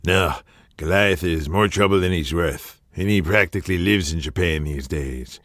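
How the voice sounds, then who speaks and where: A man's voice: low voice, normal speed, a bit bright, no echo, clean studio sound, pitched below the performer's own voice. A man playing a domineering, profane wrestling promoter in an audio drama, blustering and swearing. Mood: scheming.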